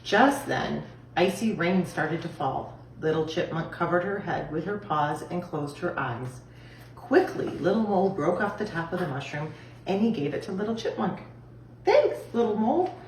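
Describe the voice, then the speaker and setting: A woman reading a children's story aloud in an expressive style, recorded in an echoey room. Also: fairly low pitch, normal speed. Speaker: storybook reader. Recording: echoey room, distant mic, faint noise.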